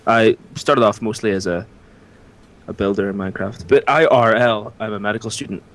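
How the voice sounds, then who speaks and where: Man, Irish accent, 20s, lowish voice, jokey, laughs a lot, podcast guest. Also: steady tone, a bit bright. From Ireland. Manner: laughs often, quick jokey replies. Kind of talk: casual chat.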